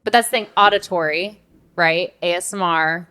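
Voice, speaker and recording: A young woman co-hosting a podcast in casual conversation, animated and given to acting out asides. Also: lowish voice, moderate pace, clear, resonant tone. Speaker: podcast co-host.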